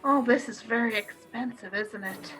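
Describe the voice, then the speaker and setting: A woman's voice: fairly high pitch, normal speed, a bit bright, soft background music, close to an everyday voice, low-quality recording. A woman playing a modest, guarded wife of a cinema owner in a radio play, polite and understated.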